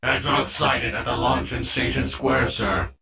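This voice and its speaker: A man's voice: medium pitch, level tone, moderate pace, creaky, bright, forceful tone, roomy sound, far from the mic, pitched above the performer's own voice. A man voicing a dutiful male robot soldier in a fan audio drama, stiff and formal.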